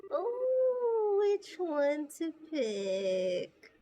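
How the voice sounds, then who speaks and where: A woman's voice: very high voice, wildly swooping pitch, extremely slow, warm tone, exaggerated voice. A woman voicing a drowsy, dreamy teenage girl from an anime role-playing game, drawing her words out in an amateur acting style. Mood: dreamy, cooing.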